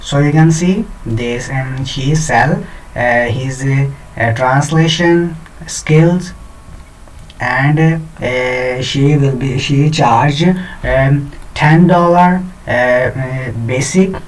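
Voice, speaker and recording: A man's voice: mid-range voice, very slow, distant mic, low-quality recording. A man hosting a screen tutorial, talking hesitantly with many fillers and restarts. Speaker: tutorial host. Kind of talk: screen-recorded software tutorial.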